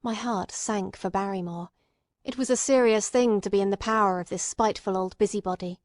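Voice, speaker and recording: A woman narrator reads a classic novel in a dry, close sound. Her delivery is measured and literary, with a medium-pitched voice that moves into acted dialogue. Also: steady tone, a little mellow, no room sound.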